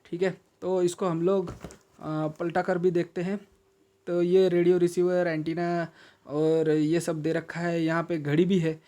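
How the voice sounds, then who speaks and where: An Indian man with a rather high voice and a relaxed, dark-edged tone hosts a casual product unboxing. He explains things step by step in an instructional way, hesitating as he goes and restating his advice. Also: measured pace. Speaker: video host and product reviewer.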